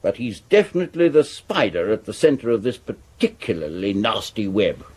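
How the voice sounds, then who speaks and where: Blustering upper-class police chief, swooping delivery. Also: male voice, lowish voice, sing-song, moderate pace, darkish tone, breathy edge. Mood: brisk command. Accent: upper-class English. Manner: brusque, pompous, authoritative. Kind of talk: 1970s radio drama acting.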